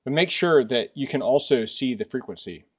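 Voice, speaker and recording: A man's voice: mid-range voice, expressive, moderate pace. Middle-aged man reviewing gear casually. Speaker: host of a product review channel. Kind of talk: casual product review chat. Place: indoors in a workshop.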